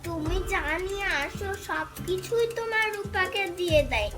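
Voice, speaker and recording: A woman's voice: extremely high pitch, normal speed, music in the background. A woman voicing a small, hungry village boy in a cartoon folk tale, pleading and complaining.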